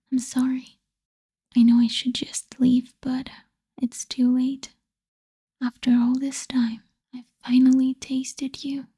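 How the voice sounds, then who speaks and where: Woman playing a possessive vampire lover, hushed breathy whisper, slow intimate pleading, very soft dark tone, ASMR roleplay. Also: high-pitched, no echo, studio quality, exaggerated voice. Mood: remorseful, pleading. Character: possessive lovesick female vampire, tender yet menacing.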